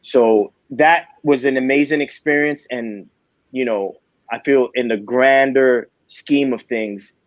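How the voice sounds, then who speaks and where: A man from New City, talking candidly as a guest DJ in a casual interview, relaxed and full of street slang. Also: mid-range voice, slow, no room sound. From New York City. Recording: dry, dull lo-fi audio.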